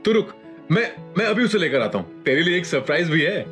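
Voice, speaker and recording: A man's voice: on the high side, sing-song, a little fast, a bit bright, soft background music. An actor playing a loyal, self-made businessman friend in an audio drama. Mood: excited. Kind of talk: Hindi audio drama acting.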